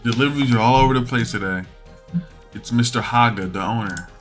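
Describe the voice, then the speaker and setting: A man reading a visual novel aloud, acting out dialogue in an animated way and adding asides. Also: medium pitch, fairly quick. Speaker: gamer playing a visual novel. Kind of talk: dramatic read-aloud of game text with asides. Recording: music behind the voice.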